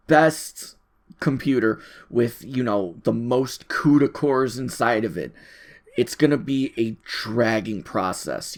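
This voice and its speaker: Male host for an informal audio journal, mid-range voice, loose rambling monologue, halting and repetitive as he thinks out loud. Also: mid-range voice. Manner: halting, informal, thinking aloud, repeats phrases.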